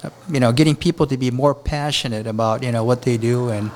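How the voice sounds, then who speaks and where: Rambling chef from Japan. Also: male voice, medium pitch, little pitch movement, slight background noise. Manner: rambling, halting, many restarts. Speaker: chef and restaurant owner. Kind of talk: casual interview talk. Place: hotel on a beach in Honolulu.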